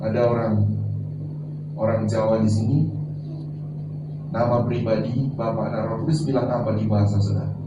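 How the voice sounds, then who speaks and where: A man's voice: on the low side, level tone, moderate pace, long pauses, dull audio. A man preaching a sermon as pastor, teaching and putting questions to the congregation. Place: large church hall. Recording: echoey church, far from mic, noisy.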